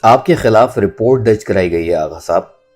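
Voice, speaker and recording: A man voicing a calm, serious police inspector in an audio story, polite and measured yet stern. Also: fairly low pitch, faint music behind, natural voice. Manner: measured, polite but warning.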